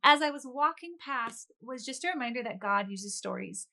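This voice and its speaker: A woman with a bright, forward voice that sits fairly high. She talks as a guest author in an interview, chatty and earnest, in a conversational storytelling way. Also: lively intonation, normal speed, gravelly.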